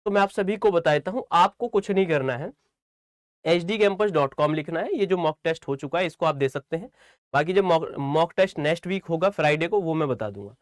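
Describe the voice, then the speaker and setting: High-pitched man teaching. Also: expressive, fairly quick, dry recording, studio quality. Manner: repeats phrases for emphasis, often checking with listeners. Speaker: exam coaching teacher. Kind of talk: informal instructional talk to students.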